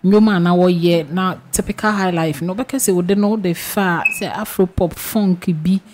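A woman's voice: fairly low pitch, steady tone, moderate pace. A young woman with a Ghanaian accent, interviewing conversationally as a radio host in a studio. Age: young adult. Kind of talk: conversational interviewing in mixed languages. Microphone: boom-arm studio microphone, close. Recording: faint background noise.

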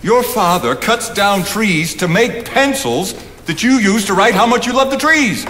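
An older actor playing an assured, provocative philosopher, eloquent and rhetorical in theatrical stage style. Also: male voice, high-pitched, animated, unhurried, a bit bright. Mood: challenging. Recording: reverberant theatre hall, background hiss.

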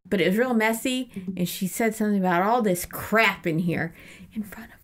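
A woman talks through a story in a casual podcast style. Her voice sits at an ordinary middle pitch, and her delivery is conversational and irreverent, like a co-host recapping a book for a friend. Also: normal speed.

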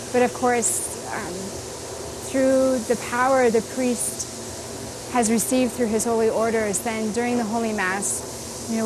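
A middle-aged woman, a nun, speaking softly and hesitantly, with many ums, in an interview in a small office on a lapel mic. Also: on the high side, noisy background.

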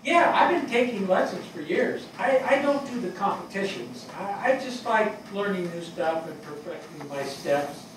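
An older man acting a plainspoken, earnest fire captain in a stage play reading, talking colloquially and haltingly with emphasis. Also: sits high, lively intonation. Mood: shy enthusiasm. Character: plainspoken, earnest New York fire captain reminiscing fondly. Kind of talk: dramatic stage reading, conversational. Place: on stage in a theatre before an audience. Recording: distant mic, echoey hall, audible noise.

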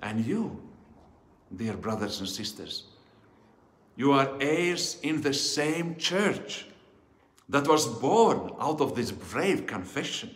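A man in his forties preaching a sermon as a pastor, solemn and deliberate, phrase by phrase, in a church. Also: mid-range voice, sing-song. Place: indoors in a church, before the altar. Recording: echoey church hall with long reverb.

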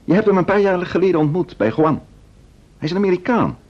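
A man playing an assured, gently patronising detective husband in a vintage radio play. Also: sits high, animated, fast talker. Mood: brisk, indulgent. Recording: dull vintage radio drama recording.

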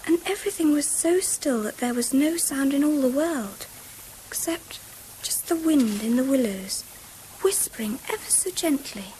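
An earnest, dreamy little English girl in a vintage radio drama. Her voice is high and very lively, with precise, eager delivery over a faint hiss. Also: moderate pace, low-quality recording. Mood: hushed wonder. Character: earnest, imaginative little girl, politely chatty. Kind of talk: 1970s British radio drama acting. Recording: faintly hissy old radio broadcast.

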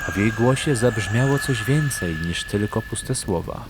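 A man's voice: fairly low pitch, expressive, measured pace, slight background noise. A man narrating a fantasy audio drama in a scripted, dramatic storytelling style.

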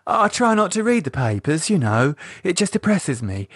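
A man's voice: fairly high pitch, very animated, moderate pace, a bit bright, breathy edge, dry recording, studio quality, voice pushed up, full character voice. A man voicing a nervous working-class woman who puts on a cheery front, in an audiobook. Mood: flustered. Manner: flustered, forced cheerfulness. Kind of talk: audiobook character voice.

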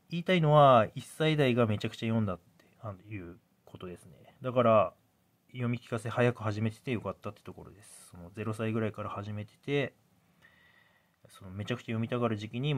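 A man in his thirties speaks in a fairly low voice. He explains things casually, like a parent vlogging to viewers. His delivery is hesitant, with phrases that trail off as he thinks aloud. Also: brisk.

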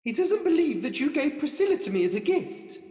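Melodramatic, vain pantomime dame played by a woman, high and swooping, in an echoey hall. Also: sing-song, a little fast, mellow. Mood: remorseful, pleading. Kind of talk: radio pantomime acting.

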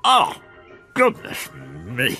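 A man's voice: a little high, wildly swooping pitch, extremely slow, sharp tone, heavily acted. A man voicing a bumbling, childish grown man in a cartoon, talking in mumbles and grunts. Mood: grumbling. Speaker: lead cartoon character. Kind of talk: cartoon voice acting. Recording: music score behind.

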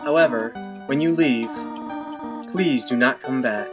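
Gamer doing a gentle sad goat mom monster from a video game, pleading n formal, loud music behind. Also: medium pitch, natural voice. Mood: sad, resigned. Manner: pleading, formal, read out slowly.

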